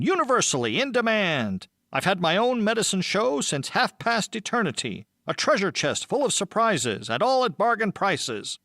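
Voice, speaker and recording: Man playing a boastful medicine-show huckster, lively pitch. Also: no echo, clean studio sound. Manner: rhyming sales patter. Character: fast-patter old-time medicine show huckster, showy and boastful. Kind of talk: audiobook character acting.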